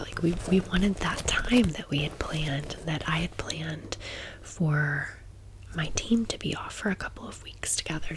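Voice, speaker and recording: A woman whispers her way through an informal ASMR chat at a very slow pace. She is in her thirties and talks like a host confiding in friends, chatty and casual, in a lowish voice. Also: low-quality recording. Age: 30s. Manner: chatty, informal, gushing asides. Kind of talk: whispered ASMR storytime and haul.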